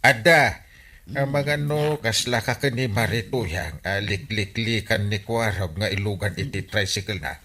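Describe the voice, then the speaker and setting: An actor with an Ilocano accent playing an exasperated village captain who scolds and questions emphatically in a radio comedy drama. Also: male voice, lowish voice, a little slow, bright, forceful tone. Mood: scolding.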